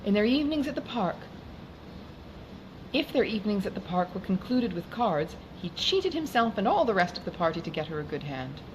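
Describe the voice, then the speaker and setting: A woman reading a classic novel aloud in a measured, formal way, as an audiobook narrator. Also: medium pitch, sing-song. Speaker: audiobook reader. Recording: clearly noisy background.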